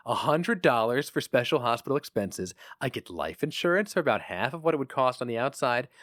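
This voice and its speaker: A man's voice: mid-range voice, a bit bright, no echo, clean studio sound, pitched above the performer's own voice. A man voicing an eager, stubborn young husband who speaks earnestly and insistently.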